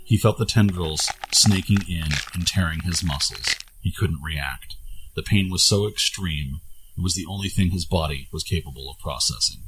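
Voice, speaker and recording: A man's voice: low-pitched, poor audio. A man reading scripted fantasy story narration as the narrator of an audio tale.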